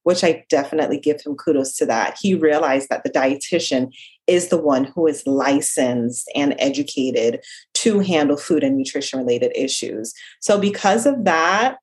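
A woman's voice: deep voice, normal speed, darkish tone, husky. A woman from Jamaica with a slight Jamaican accent, a dietitian talking casually as a podcast guest. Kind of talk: casual interview talk.

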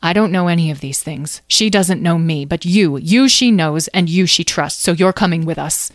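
A woman's voice: lowish voice, moderate pace, no room sound, clean studio sound, natural voice. Woman playing an earnest, commanding mother dragon. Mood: pained, insistent. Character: anxious, determined mother dragon leader, fiercely protective.

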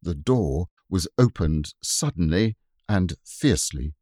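A man narrating a children's classic audiobook in an expressive, measured storytelling manner. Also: deep voice, no room sound, clean studio sound. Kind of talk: scripted narration.

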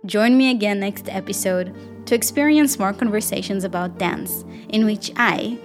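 Israeli woman, young adult, solo podcast host and dancer, reflective and upbeat, speaks warmly to her listeners, medium pitch. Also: moderate pace, faint music behind.

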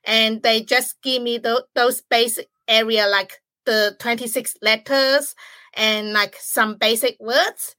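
A young woman from China with a Chinese accent, speaking English as a second language in a halting way with grammar slips, as a podcast guest. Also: on the high side, fairly even intonation, pushed and bright, no echo. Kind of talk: podcast interview.

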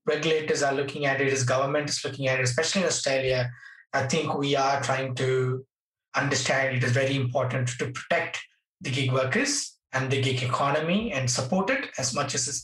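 A man interviewing a guest conversationally, in a halting way, repeating phrases for emphasis. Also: medium pitch, a bit bright. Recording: roomy echo, distant from mic.

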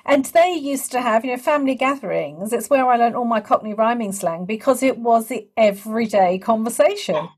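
A slightly husky woman's voice, fairly high in pitch. She talks casually as an interviewer in an informal conversation. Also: animated, brisk, few pauses, darkish tone. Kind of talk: casual, jolly chat.

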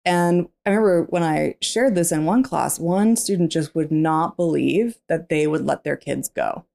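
A woman, a former teacher, co-hosting a casual book discussion podcast, candid and quick to laugh. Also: deep voice, gravelly. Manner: laughs often, animated and candid. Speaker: podcast co-host.

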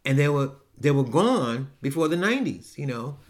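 A man's voice: mid-range voice, very animated, normal speed. A man, talking frankly and rambling through a casual personal monologue with many restarts. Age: 70s. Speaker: podcast host.

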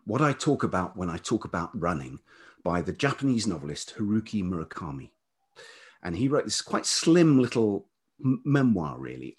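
A middle-aged British man with a velvety voice, talking reflectively and haltingly as an interview guest, often circling back on his thoughts. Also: low-pitched, slightly rough. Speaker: podcast guest, writer and radio producer.

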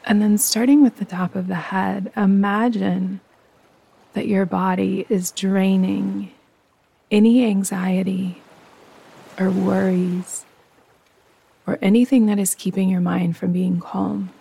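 A woman guiding a yoga nidra meditation in a soft, breathy voice, calm, soothing and unhurried. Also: mid-range voice, rough recording. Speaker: meditation guide and host.

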